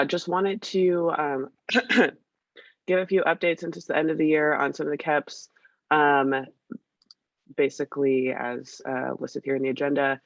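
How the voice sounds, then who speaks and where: Woman with a scratchy, gravelly cold voice. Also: low voice, no echo. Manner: chatty, hesitant, many fillers. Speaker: meeting presenter. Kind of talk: casual work meeting update. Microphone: video call. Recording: dull, dry video-call audio.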